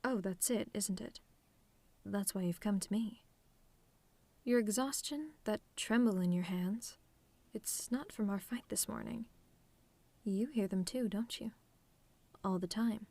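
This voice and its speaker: A woman playing a telepathic villainess in an ASMR roleplay, sardonic and theatrical, menacing with a tender streak, in deliberate phrasing. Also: mid-range voice, dark tone, no room sound. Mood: intrigued, softening. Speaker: audio roleplay performer.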